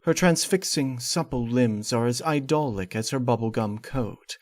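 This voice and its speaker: A man's voice: medium pitch, normal speed, no echo. A man reading a scripted gothic horror story in the first person, with formal, theatrical and precise phrasing. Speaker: narrator reading fan fiction.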